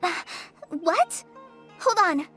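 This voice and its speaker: Super high bouncy little fairy sidekick, game music behind. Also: female voice, lively intonation, drawn-out words, sharp tone, heavily acted. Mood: surprised. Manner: high, bouncy, refers to herself in third person. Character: small floating fairy companion, chirpy and excitable. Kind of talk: game voice acting. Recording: game audio with clear background music.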